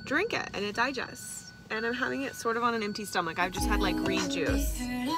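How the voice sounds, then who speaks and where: A woman chatting casually and excitably as a travel vlogger on a road trip, with bubbly, exclamatory delivery. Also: a little high, fairly quick, over loud music.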